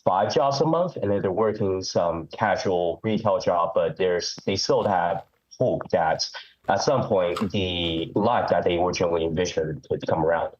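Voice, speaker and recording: A man's voice: deep voice, moderate pace, dull audio. A man calling in to a live show, speaking hesitantly with many ums and restarts, with a head cold. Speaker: caller. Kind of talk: call-in chat.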